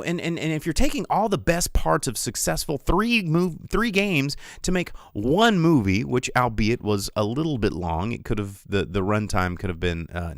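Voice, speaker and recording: A man's voice: mid-range voice, no room sound, studio quality. A man in his forties hosting a casual solo movie livestream, rambling and joking with a sarcastic streak. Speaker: movie review show host.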